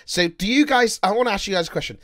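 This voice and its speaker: A man in his twenties hosting a casual online talk show, excitable and stumbling over restarts. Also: high-pitched, fast. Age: 20s. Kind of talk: casual group chat on a stream.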